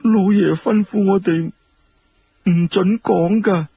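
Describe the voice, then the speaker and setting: A man's voice: steady tone, slow pace, creaky, breathy edge, dry recording, phone-quality sound, heavily acted. A man voicing a timid, distressed household servant in Hong Kong Cantonese, deferential and plaintive, for a told story. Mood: fearful. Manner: deferential, plaintive, high-pitched, hushed.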